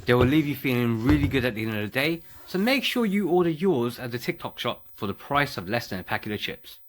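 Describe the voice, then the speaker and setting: Lively salesy man pitching. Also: fairly quick. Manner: salesy, direct to listeners. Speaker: ad presenter. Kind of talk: upbeat product pitch.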